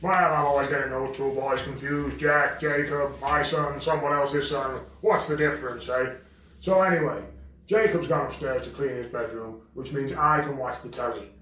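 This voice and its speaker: A man's voice: mid-range voice, fairly even intonation, moderate pace, fairly bright tone, slight background noise, voice pushed down, lightly acted. Man playing a bossy dragon dad, very echoey. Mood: blustering. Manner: scolding, exclamatory, swooping. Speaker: father performing a puppet show. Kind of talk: homemade children's puppet show. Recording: very echoey room, distant mic.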